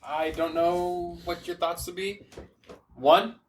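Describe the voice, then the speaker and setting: A man's voice: sits high, steady tone, slow pace, slight background noise. A man co-hosting a podcast in casual, informal chat, often qualifying what he says. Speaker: podcast co-host.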